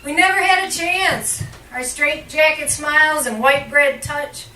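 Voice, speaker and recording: A woman poet reads aloud in a bright, forward voice that sits somewhat high. Her phrasing is measured and deliberate, leaning on each line break. It is a live cafe recording, caught from well back in a very roomy space. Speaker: poet, featured reader at a poetry reading. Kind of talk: live poetry reading. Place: indoor cafe poetry reading. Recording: roomy, distant live recording with faint noise.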